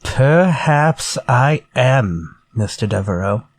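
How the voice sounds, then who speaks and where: An actor playing a menacing, politely theatrical murderer in a retro-style radio drama. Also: male voice, medium pitch, lively intonation, no echo. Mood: politely probing. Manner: courtly, measured, theatrical. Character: menacing murderer from a story, politely coaxing then vengeful.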